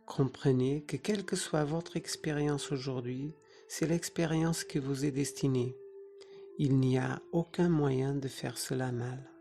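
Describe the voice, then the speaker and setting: Slow, mellow meditation guide. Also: mid-range voice, fairly even intonation, gravelly, faint music behind. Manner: soothing, instructing in short phrases with pauses. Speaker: yoga nidra meditation teacher.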